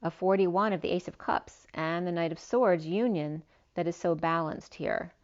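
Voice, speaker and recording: A woman's voice: on the low side, normal speed. A woman giving a spiritual tarot reading, meandering through long lists of cards with fillers, her voice slightly worn. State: losing her voice a little. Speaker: tarot reader. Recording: dull lo-fi sound.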